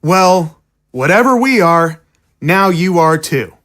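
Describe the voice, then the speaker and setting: A man's voice: high voice, expressive, takes it slowly, fairly bright tone, full character voice. An actor playing a wry, grateful boy in an audio drama. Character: grateful, wry kid who made it home.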